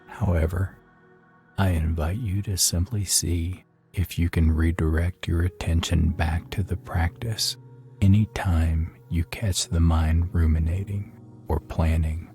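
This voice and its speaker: A man guides a meditation in a very low, dark and relaxed voice over faint music. His delivery is soothing and even, unhurried, with long pauses left between phrases. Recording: soft music behind the voice.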